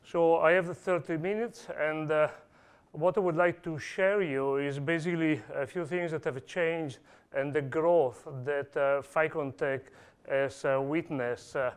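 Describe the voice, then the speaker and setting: A man from Italy speaks with an Italian accent, giving a technical talk. His voice is smooth and resonant and sits a little high for a man. He stops often, filling gaps with "uh" and restarting his sentences. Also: steady tone, a little slow. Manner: hesitant, many "uh" fillers and restarts. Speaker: conference speaker. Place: conference hall.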